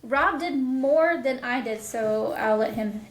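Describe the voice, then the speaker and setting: A woman's voice: high-pitched, takes it slowly. A woman chatting casually as a guest on an interview podcast, in a roomy recording. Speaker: podcast guest, audio drama producer. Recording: echoey room with faint noise.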